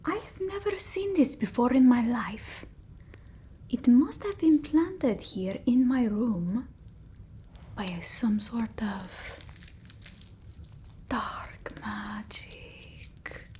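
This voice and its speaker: A woman voicing an eccentric centuries-old female vampire in an ASMR roleplay, speaking softly and often whispering, close to the mic. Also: on the high side, a little hiss or hum. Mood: suspicious, alarmed. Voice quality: soft, breathy, often whispered. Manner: halting, theatrical, with quaint phrasing. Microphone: close ASMR microphone.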